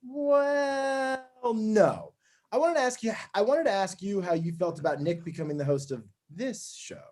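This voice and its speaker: A man's voice: sits high, animated, unhurried, a little mellow. A man playing a breezy, slick TV network boss, glib and chummy, in a comedy table read.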